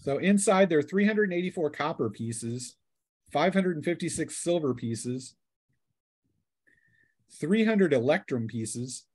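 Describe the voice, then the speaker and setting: A man's voice: on the high side, animated, a little slow, long pauses, darkish tone, breathy edge. A man acting as game master, casually describing scenes and rulings in a tabletop fantasy game.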